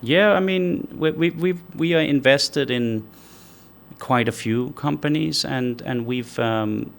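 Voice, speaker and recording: A man from Denmark, a company founder answering interview questions in a measured way, thinking aloud with frequent restarts. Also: mid-range voice, gravelly.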